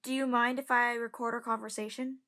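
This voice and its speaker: A woman's voice: a little high, little pitch movement, sharp tone. A woman playing a nervous young journalist. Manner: hesitant, halting. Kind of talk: amateur audio drama acting.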